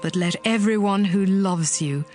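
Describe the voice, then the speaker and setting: A woman reading a solemn passage in a measured way in a dramatized Bible recording. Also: medium pitch, a little mellow, soft background music.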